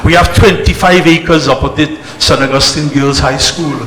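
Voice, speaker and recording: Male community leader, deliberate and emphatic public speaker, echoey amplified hall with faint background noise. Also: a little high, steady tone, a bit bright. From born in Trinidad, spent early life in England. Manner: emphatic, deliberate oratory with short phrases and repetition. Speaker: religious organisation leader giving a speech. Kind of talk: public speech to a community gathering. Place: temple celebration, amplified to an audience.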